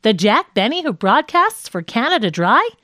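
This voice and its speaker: Soda jerk voiced by a female narrator, pushy and chatty, high, swooping delivery, very dry recording. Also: very animated, moderate pace, pushed and bright, dry recording. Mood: curious, cheeky. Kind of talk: reading quoted comedy script in an audiobook.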